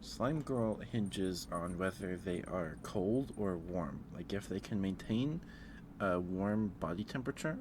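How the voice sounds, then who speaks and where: Male ASMR streamer, low voice, halting and rambling casual chat, clear noise in the recording. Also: lively intonation. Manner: hesitant, rambling, often restarting mid-thought; chatting back to live viewers. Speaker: livestream host.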